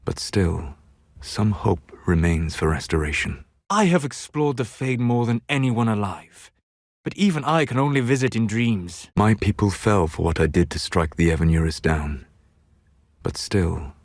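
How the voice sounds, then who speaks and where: A man playing a solemn, learned elven mage in a video game, proud and regretful, speaking in a measured, formal way. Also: low voice, lively intonation, no room sound, clean studio sound, exaggerated voice. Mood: solemn, reflective. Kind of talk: scripted game dialogue.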